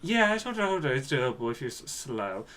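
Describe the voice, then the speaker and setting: A man's voice: mid-range voice, big pitch swings, a little fast, fairly bright tone. A man co-hosting a podcast, chatting casually and responding to his partner. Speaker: podcast co-host. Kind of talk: casual chat, reacting to co-host.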